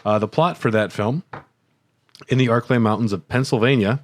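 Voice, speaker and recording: A man's voice: mid-range voice, moderate pace. A man co-hosting a podcast, reading a summary with wry, playful asides. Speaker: podcast co-host.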